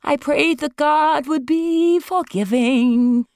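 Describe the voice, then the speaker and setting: Woman putting on a high, theatrical glamorous actress. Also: slow pace, darkish tone, no echo, studio quality. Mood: singing. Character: glamorous ageing actress, dramatic and grand.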